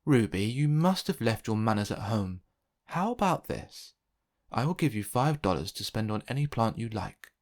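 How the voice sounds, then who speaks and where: Guy doing a patient mom in a kids book, gentle and reassuring. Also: lowish voice, very animated, moderate pace. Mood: firm.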